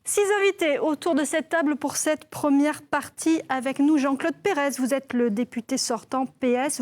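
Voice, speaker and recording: A woman presenting a televised political debate, introducing guests in a clear, measured way. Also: high voice, a little mellow. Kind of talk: broadcast debate hosting.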